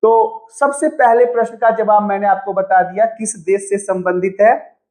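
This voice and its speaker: A man's voice: high-pitched, steady tone, normal speed, darkish tone. A man teaching an informal exam-prep lesson online, chatty and encouraging, repeating phrases for emphasis.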